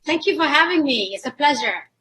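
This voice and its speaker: Woman, very high voice, Ethiopian-born and raised in Israel; restaurant-owner guest in an interview. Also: lively intonation, fairly quick, pushed and bright. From born in Ethiopia, grew up in Israel. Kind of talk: interview answers.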